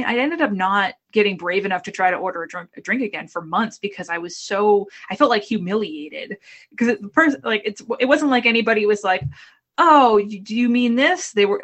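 A woman from the Boston area with a Boston accent, an author chatting casually in an interview over a video call, self-deprecating and quick to restart her sentences. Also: medium pitch, expressive, fairly bright tone. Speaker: author guest.

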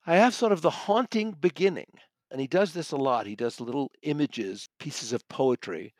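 A man's voice: a little high, expressive, normal speed, no room sound. Thoughtful man reviewing books, dry close sound. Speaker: audiobook reviewer and magazine contributor. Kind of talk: conversational book review.

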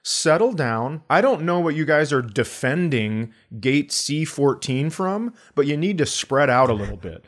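A man's voice: on the high side, sing-song, measured pace, hardly any pauses. A man co-hosting a comedy podcast in casual banter, sardonic and profane, acting out blunt remarks.

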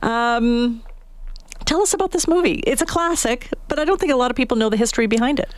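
A woman's voice: a little high, quick pace, no echo. A woman chatting casually as host of a live radio interview, close on a studio microphone. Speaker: radio morning show host.

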